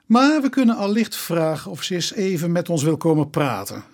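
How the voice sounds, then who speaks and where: A man playing a methodical police inspector in a radio drama, speaking in a measured, deliberate way. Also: on the high side, very animated.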